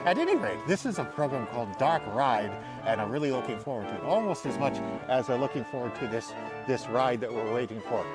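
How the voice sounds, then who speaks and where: A man's voice: mid-range voice, expressive, a little fast, rough recording. A man co-hosting a show with playful, comic banter. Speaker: co-host. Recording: music behind the voice.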